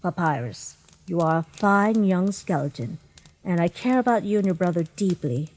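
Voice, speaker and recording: A woman voicing a gentle, motherly monster queen in a comic dub, speaking with formal, measured politeness. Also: on the low side, lively intonation, poor audio. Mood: grave, bitter. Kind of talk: comic dub character acting. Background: music.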